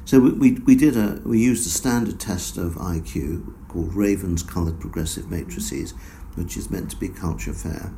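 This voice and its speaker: Male researcher guest, very low gravelly voice, dark tone, measured explainer with ums. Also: a little hiss or hum. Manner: measured, explanatory, many fillers. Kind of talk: academic interview answers.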